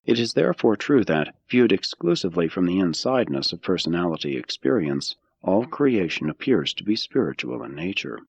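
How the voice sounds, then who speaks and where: A man reading a scripted audiobook of religious philosophy in a measured, solemn, deliberate way. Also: low-pitched, sing-song, breathy edge, no echo, lo-fi sound. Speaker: audiobook narrator.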